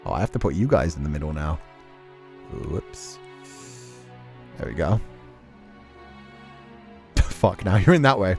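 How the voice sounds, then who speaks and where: A man reading a visual novel aloud as an expressive, dramatic narrator, acting out the characters. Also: deep voice, measured pace, creaky, long pauses, long reverb tail, faint music behind.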